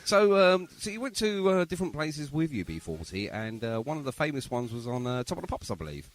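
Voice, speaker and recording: A man from London with a London accent hosts a podcast in a very animated voice that swoops around in pitch. He talks casually and jokily, rambling a little and throwing in bantering asides. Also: fairly quick. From London, England. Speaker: podcast host. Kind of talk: casual jokey interview chat.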